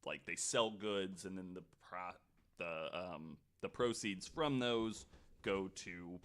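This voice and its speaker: A man presenting a researched story on a podcast in a casual, halting way, with informal asides. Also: deep voice, a bit bright. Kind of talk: casual explanatory podcast talk.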